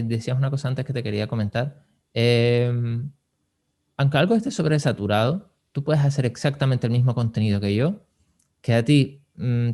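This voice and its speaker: A man's voice: mid-range voice, darkish tone. Man giving casual, explanatory advice. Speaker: podcast host and marketing advisor.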